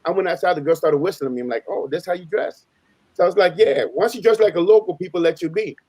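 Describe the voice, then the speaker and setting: A man with a fairly high voice talks very quickly in a dry, close recording. He is a native Spanish speaker who tells stories in loose street slang. He repeats phrases for emphasis and acts out both sides of a conversation. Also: darkish tone, dry recording. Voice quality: slangy, informal street talk. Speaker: panelist on a live open panel. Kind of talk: casual animated storytelling.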